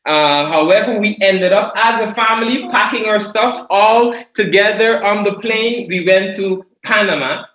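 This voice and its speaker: A man from Guyana sharing his ministry testimony at a table, halting and repeating words as he goes. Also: high voice, a bit bright. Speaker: ministry speaker. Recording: echoey muffled video call.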